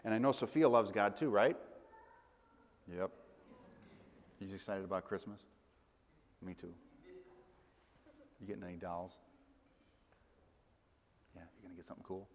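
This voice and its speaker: A middle-aged man delivers a sermon in a friendly, halting way, his somewhat low voice dotted with ums and restarts. He speaks to his congregation in a church, and a long reverb trails each phrase. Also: slight background noise. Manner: conversational, halting with fillers and restarts. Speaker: priest.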